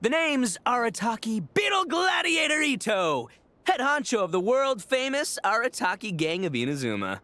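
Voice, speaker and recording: A man playing a boastful, goofy young gang boss with a loud, theatrical swagger in a video game. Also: extremely high pitch, very animated, moderate pace, dry recording, full character voice. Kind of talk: animated video game voice acting.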